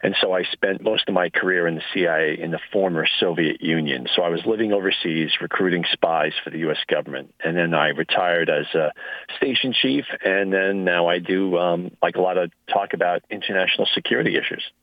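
A man being interviewed as a guest on a radio show, speaking haltingly with many restarts. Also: low voice, no echo. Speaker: guest, retired intelligence officer. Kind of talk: phone-in interview. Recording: tinny phone line.

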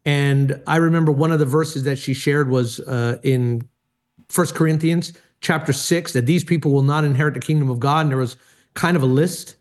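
A middle-aged man from Hawaii speaks earnestly about his faith, pausing often with fillers. His voice sits somewhat higher than most men's. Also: fairly even intonation. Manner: earnest, many hesitations and fillers. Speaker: speaker and former pastor. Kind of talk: personal testimony in interview.

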